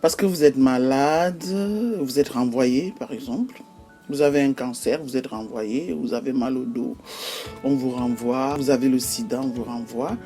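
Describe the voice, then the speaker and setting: A woman with a Cameroonian accent hosting an explanatory vlog, listing examples one by one in a measured way with frequent hesitations. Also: extremely low pitch, warm tone, soft background music. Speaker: YouTube host.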